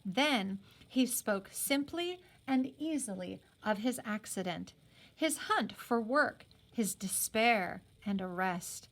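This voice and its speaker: A woman reading a novel aloud as a teacher would, in an expressive storytelling style. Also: medium pitch, takes it slowly. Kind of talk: expressive story read-aloud with brief explanatory asides.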